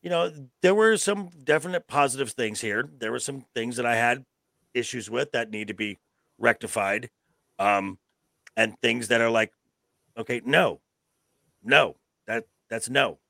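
A man co-hosting a podcast, speaking haltingly and emphatically, repeating words as he gives his opinions. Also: mid-range voice, creaky, sharp tone, no echo, studio quality. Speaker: podcast co-host. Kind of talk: casual opinionated review chat.

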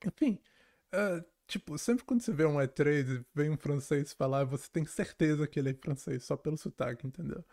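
Man chatting, slightly high voice. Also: brisk, darkish tone. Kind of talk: casual chat, personal storytelling.

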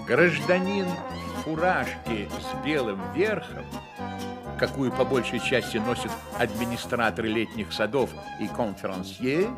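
A man narrating a literary radio play, measured and ironically solemn. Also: medium pitch, expressive, lightly acted. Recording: loud music under the voice.

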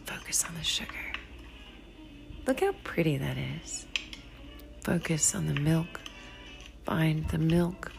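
A woman with a low, gravelly voice reads a short story over clear background music. She is very unhurried and deliberate, with a hushed, dramatic delivery that often slips toward a whisper. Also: lively intonation. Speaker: author reading own short story. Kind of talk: slow, dramatic literary storytelling. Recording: music behind the voice.

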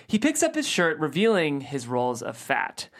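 Low-voiced, animated woman narrator. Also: normal speed. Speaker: stage directions reader. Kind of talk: scripted narration at a comedy table read.

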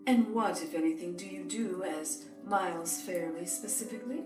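A woman playing a spirited, proper young mother who is quick to scold, in an audio drama. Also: on the low side, over music, natural voice. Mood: coy, flustered. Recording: echoey room, distant from mic.

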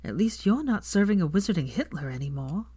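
A woman voicing a blunt, weary young female professor with a dark sardonic streak in a story reading. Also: on the low side, sing-song, fairly quick, husky, no echo, clean studio sound. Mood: blunt, wry.

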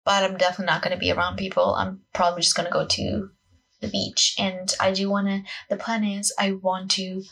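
A woman around twenty with a Portuguese accent chats casually into a solo podcast. Her voice is fairly husky, with plenty of vocal fry. She rambles in a scattered, self-conscious way, leaning on "like" as she goes. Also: mid-range voice, completely flat delivery, brisk, fairly bright tone. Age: young adult, about 20. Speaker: podcast host, acting student.

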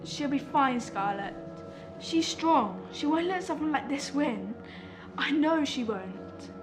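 A woman plays an earnest, steadfast old lady in an audio drama. Her voice sits very high, with music in the recording. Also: lively intonation, slow. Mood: worried, resolute. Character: elderly woman Rebecca, earnest, steadfast retirement home resident.

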